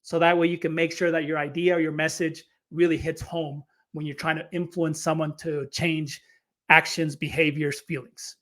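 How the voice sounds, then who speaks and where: A man's voice: fairly high pitch, level tone, moderate pace, fairly bright tone. A man from Chile, a storytelling coach, explaining his method with energy in a podcast interview, often repeating words as he starts a phrase.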